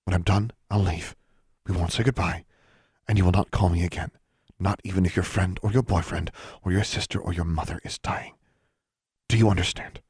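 A man voicing a stern, guarded woman in an audiobook, speaking in a hard, hushed, curt and commanding way. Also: sits low, steady tone, brisk, breathy edge, dry recording, clean studio sound. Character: stern, guarded adult elder sister, a woman doctor.

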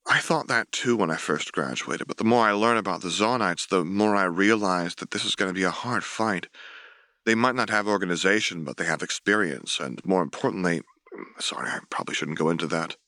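Man acting a bright-voiced, earnest young military officer. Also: low-pitched, brisk, no room sound. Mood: grave, intense. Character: earnest, self-effacing, duty-driven young military officer. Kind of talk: dramatic audiobook dialogue reading.

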